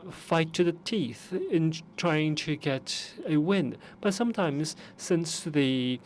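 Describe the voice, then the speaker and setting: A man renders forum speeches live as an interpreter, his voice sitting a little high for a man. He speaks in measured phrases, often pausing and restarting mid-sentence, with a faint hiss of noise behind him. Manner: measured, with frequent pauses and restarts. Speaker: conference interpreter.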